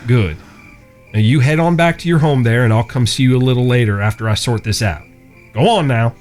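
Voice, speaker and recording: Chivalrous gunfighter, male actor: courteous, steely, medium pitch, light music underneath. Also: a little mellow, lightly acted. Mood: reassuring. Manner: courteous, measured, earnest. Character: chivalrous blind cowboy, polite but steely. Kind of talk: Western audio drama acting.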